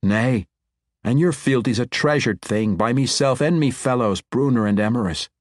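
A man voicing a young male dwarf king, calm and reassuring, with a dwarven brogue. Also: medium pitch, moderate pace, no echo, clean studio sound, pitched above the performer's own voice, close to an everyday voice.

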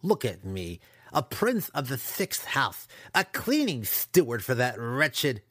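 Fantasy audiobook villain played by a man: young dark elf apprentice, mocking and resentful, pitched up, very animated. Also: slow pace, slightly rough, no echo, clean studio sound, full character voice. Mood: smug sarcasm. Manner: sneering, mocking.

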